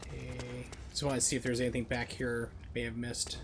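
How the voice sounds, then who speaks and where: A man's voice: medium pitch, monotone, fairly quick, creaky, noisy background. A man streaming a video game, giving casual, opinionated and emphatic commentary as he plays. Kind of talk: casual live-stream commentary while playing.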